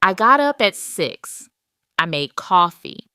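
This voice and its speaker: A woman narrating a scripted first-person dramatic story in a measured, deliberate way. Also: on the low side, sing-song, pushed and bright, smooth, no echo, studio quality.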